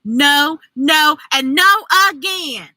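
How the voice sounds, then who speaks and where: Woman doing a high, indignant young schoolgirl. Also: animated, drawn-out words, sharp tone, dry recording, clean studio sound, exaggerated voice. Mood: hurt, indignant.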